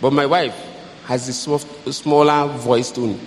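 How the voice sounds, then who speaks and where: A man with a big, loud voice giving advice to an audience, teaching with repeated key phrases. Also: mid-range voice, fairly even intonation, unhurried, a little hiss or hum. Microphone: handheld mic. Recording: echoey hall with faint ambience.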